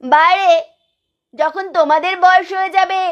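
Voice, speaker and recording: A woman's voice: extremely high pitch, steady tone, measured pace, a bit bright, muffled recording, voice pushed up. A woman voicing an innocent, earnest little child talking to a parent in a family skit. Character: innocent, matter-of-fact little child talking back to mother. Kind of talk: solo voice-modulated Bengali family skit.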